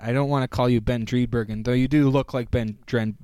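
A man's voice: medium pitch, steady tone, fast talker, mellow, clean tone, no echo, studio quality. A man hosting a podcast, chatting casually and rambling with frequent self-corrections. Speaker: podcast host. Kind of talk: casual chat.

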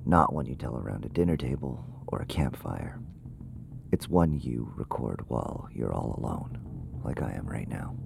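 An actor in his thirties playing a lonely, earnest ghost hunter who talks in a halting, self-conscious way, as if confiding in his podcast. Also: extremely low pitch, lively intonation, gravelly, background noise, exaggerated voice. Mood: somber, reflective. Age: 30s. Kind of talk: confessional podcast-style audio drama narration.

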